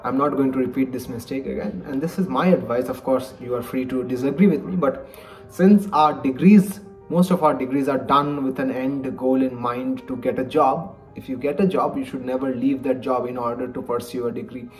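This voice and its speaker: A man's voice: mid-range voice, fairly even intonation, normal speed, darkish tone. An earnest young man from India speaks with an Indian English accent and a mid-range voice, stressing key words as he explains things to camera. He records at home in a book-lined study, with faint music underneath. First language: Bengali. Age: 20s. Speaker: vlogger, college lecturer. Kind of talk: personal advice vlog to camera. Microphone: webcam-style framing, head and shoulders.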